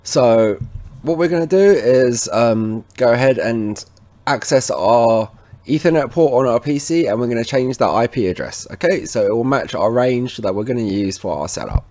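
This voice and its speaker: A man presenting an informal, instructional software walkthrough, talking casually to viewers as he goes. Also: mid-range voice, expressive, normal speed. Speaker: tech tutorial presenter. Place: indoors at a computer, voice over screen recording.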